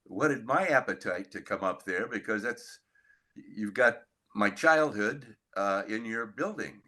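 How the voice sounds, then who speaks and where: Male podcast interviewer, slow and halting, with a sharp, bright tone. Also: fairly low pitch, expressive. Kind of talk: hosting an interview.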